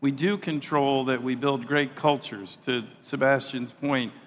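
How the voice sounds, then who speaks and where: Male panelist, measured speech, hall echo and faint room noise. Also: mid-range voice, steady tone. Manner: measured, repeats words when starting a thought. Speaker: panelist, hospitality executive. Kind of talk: panel discussion. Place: large conference hall stage. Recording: hall reverb, faint ambience.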